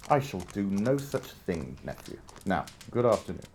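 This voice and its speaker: A man's voice: fairly low pitch, very animated, moderate pace, a little mellow, slight background noise. An actor playing a miserly, scornful old businessman with a grating voice in a Victorian audio drama. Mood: curt, mocking. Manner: curt, irritable, scornful.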